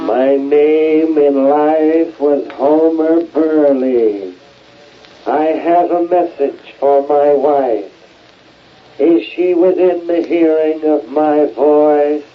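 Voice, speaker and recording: An actor playing the solemn, halting spirit of a dead husband in an old radio drama. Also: male voice, a little high. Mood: solemn, ghostly. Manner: slow, halting, portentous. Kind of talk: 1930s radio drama acting. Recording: dull vintage radio recording.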